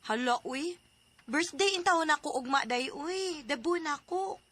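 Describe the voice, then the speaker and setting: A woman's voice: high voice, animated, unhurried, a bit bright. A woman acting an eager, insistent eighteen-year-old girl in a radio drama, with a Cebuano accent. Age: young adult.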